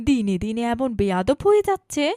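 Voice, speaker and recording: A woman's voice: on the high side, big pitch swings, fairly quick, warm tone, no room sound, studio quality. A woman voicing a stern, reproachful mother of a teenage girl in a cartoon. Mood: scolding. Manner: reproachful, commanding. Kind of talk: cartoon dialogue acting.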